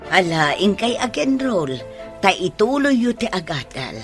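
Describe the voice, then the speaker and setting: A woman's voice: medium pitch, sing-song, unhurried. An actress with an Ilocano accent playing a supportive middle-aged mother in a radio drama. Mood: earnest, encouraging. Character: supportive mother encouraging young women to study. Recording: music under the voice.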